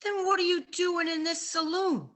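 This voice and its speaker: An actress playing a furious, domineering wife, scolding and suspicious, in old-time radio style. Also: female voice, very high voice, moderate pace, a bit bright. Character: furious domineering wife hunting her drunken husband.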